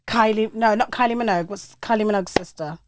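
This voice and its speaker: A woman's voice: medium pitch, fast talker, crowd noise. A South African-born woman in her thirties, a podcast guest chatting animatedly and laughing often as she tells her story. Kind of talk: casual interview chat. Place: podcast studio with backdrop. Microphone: boom-mounted podcast mic.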